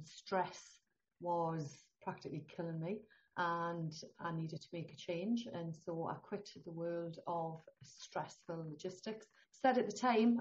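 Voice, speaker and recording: A middle-aged to older woman with a northeast English accent, a holistic healthcare guest talking in a chatty, animated way and laughing often. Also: lowish voice. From northeast England. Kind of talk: conversational interview. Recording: dull lo-fi sound.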